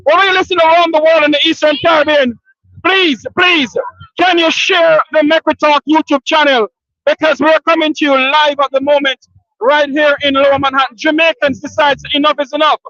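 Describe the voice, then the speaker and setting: A man's voice: extremely high pitch, flat delivery, normal speed, sharp tone, no room sound. A man hosting live street interviews at a protest outdoors, upbeat and repeating his questions, often thanking people. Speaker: street interviewer and show host. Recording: dull lo-fi outdoor recording.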